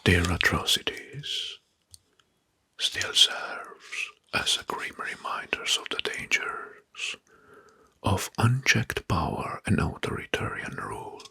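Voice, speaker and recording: A man narrating history in an ASMR style, in a breathy, husky whisper, slowly and deliberately in short phrases. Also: low voice, wildly swooping pitch, pushed and bright, dry recording. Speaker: ASMR video host. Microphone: close microphone.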